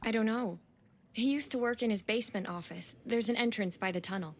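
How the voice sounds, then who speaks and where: A worried civilian woman in a military video game. Her voice sits fairly high, and she speaks earnestly and anxiously, eager to cooperate. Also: a little fast. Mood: worried, helpful.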